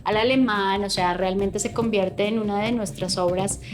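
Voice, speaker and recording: A woman's voice: medium pitch, moderate pace, over music. Explaining woman in an interview. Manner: lively, explanatory, asks rhetorical questions. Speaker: interviewed literary expert.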